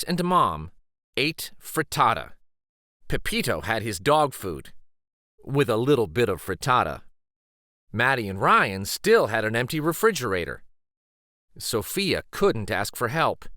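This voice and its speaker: Animated, unhurried male storyteller. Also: medium pitch, no echo, clean studio sound. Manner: deliberate, animated. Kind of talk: expressive children's picture-book narration.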